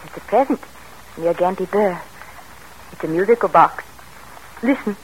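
An actress playing a gentle young mother soothing a child in old-time radio drama, tender and troubled. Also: mid-range voice, animated, normal speed, a little hiss or hum, lightly acted, low-quality recording. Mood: tender, worried. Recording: vintage radio recording with music.

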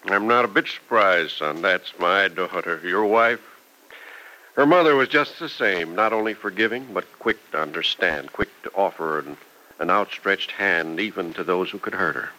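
A man's voice: low-pitched, normal speed, bright, forceful tone, low-quality recording. An actor playing a kindly, ailing older farmer and father-in-law in an old radio serial. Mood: tender, reflective. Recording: vintage radio recording with faint noise.

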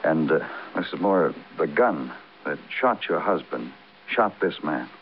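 An actor playing a world-weary American police detective, terse and brooding, in old-time radio crime drama style. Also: male voice, extremely low pitch, animated, measured pace, a little hiss or hum, full character voice. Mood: somber, probing. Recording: muffled vintage radio broadcast, music behind.

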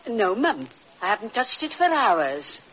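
An actress playing a deferential, evasive housemaid in a 1940s radio drama, servile and hedging. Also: female voice, mid-range voice, sing-song, a little slow, fairly bright tone. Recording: distant, hissy, dull old radio recording.

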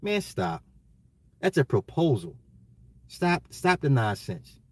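An American man ranting casually on a livestream, emphatic and slangy, repeating his phrases, his voice getting slightly hoarse. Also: mid-range voice, very animated, slow, dry recording. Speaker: livestream host. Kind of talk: casual opinionated livestream rant.

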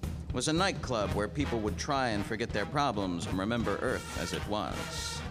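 An actor playing a tough, terse, world-weary police detective narrating a 1940s-style noir radio drama. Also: male voice, lowish voice, moderate pace, natural voice. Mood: terse, brooding. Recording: radio play over prominent music.

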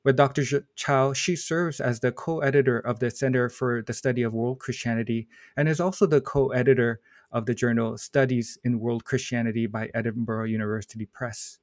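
A man hosts an academic podcast, working through long formal introductions in a measured, careful way. He trips over a name now and then and fills the gaps with a soft "uh". The sound is dry and close, with an even middle pitch. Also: no echo. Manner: measured, reads formal bios with small stumbles and fillers. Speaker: podcast host. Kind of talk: reading a guest introduction, then interviewing.

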